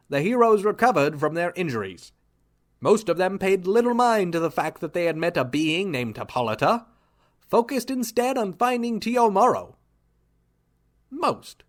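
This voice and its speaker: A man narrating and announcing in a theatrical 1940s radio style. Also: high-pitched. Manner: showy, upbeat, theatrical.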